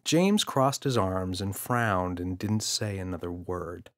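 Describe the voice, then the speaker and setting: A man's voice: sits low, animated, slow, fairly bright tone. A man reading a scripted children's story as an audiobook narrator.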